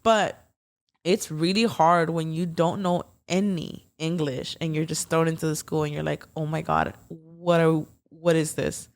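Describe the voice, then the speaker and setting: A woman's voice: deep voice, steady tone, unhurried. A young woman co-hosting a casual podcast, chatty and full of fillers, with Spanish as her first language. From United States, Mexican parents. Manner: chatty, swears, many fillers. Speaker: podcast co-host. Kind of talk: casual chat.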